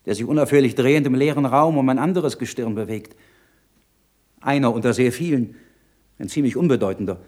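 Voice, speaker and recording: An actor playing an earnest, troubled learned monk in a radio play, speaking in a measured, solemn, rhetorical way. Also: male voice, mid-range voice, a little mellow, natural voice. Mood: urgent, pleading. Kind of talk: German radio play acting.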